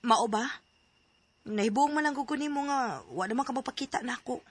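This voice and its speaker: A woman's voice: sits high, brisk, breathy edge, no room sound. An actress with a Cebuano accent playing an emotional young woman in a radio drama. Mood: curious, puzzled. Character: emotional young woman hurt by her boyfriend.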